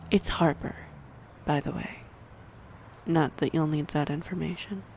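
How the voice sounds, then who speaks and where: Woman acting snide, slow-talking predatory female vampire. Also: low-pitched, steady tone, darkish tone, slight background noise. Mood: menacing, taunting. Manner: mocking, teasing, theatrically menacing. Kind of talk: audio roleplay acting addressed to the listener.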